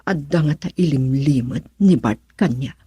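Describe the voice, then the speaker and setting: Lady playing a worried woman thinking out loud in a radio drama, super husky, brooding, suspicious, dry close sound. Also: low-pitched, moderate pace, no echo. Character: worried suspicious woman brooding over her absent partner. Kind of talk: Ilocano radio drama monologue.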